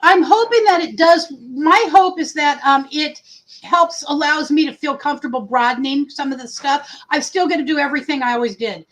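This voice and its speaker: A woman's voice: high voice, fairly bright tone, low-quality recording. A woman with a big voice hosting a casual livestream, rambling and correcting herself as she talks. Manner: rambling, self-correcting, many pauses. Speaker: host of a livestream.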